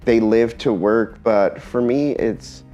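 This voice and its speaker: Man, earnest, halting talk, pauses often, spanish first language, faint guitar behind. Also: medium pitch, mellow. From Eastern Washington, USA. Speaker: speaker giving a talk. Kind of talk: personal talk.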